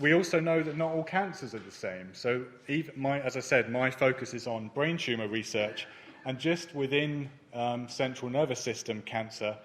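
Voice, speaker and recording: A man presenting his research at a conference, speaking in a measured way with frequent ums and uhs. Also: medium pitch. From not Germany. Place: conference hall.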